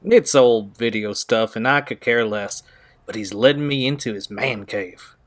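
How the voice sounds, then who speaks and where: An actor playing a playful, excitable male friend in an audio drama, talking in a breezy, folksy way. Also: medium pitch, unhurried, lightly acted. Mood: playful, excited.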